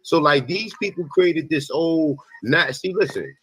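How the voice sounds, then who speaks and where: A man's voice: on the high side, expressive, measured pace, a bit bright, husky, no echo, poor audio. A man arguing emphatically and informally in a live online debate. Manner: emphatic, informal, talks straight. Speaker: debate participant.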